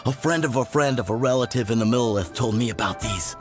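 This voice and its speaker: A man's voice: medium pitch, quick pace, close to an everyday voice. A man voicing an opinionated, gossipy townsman in a game, with a knowing conversational delivery. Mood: impressed. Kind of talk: video game character acting. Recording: background music under voice.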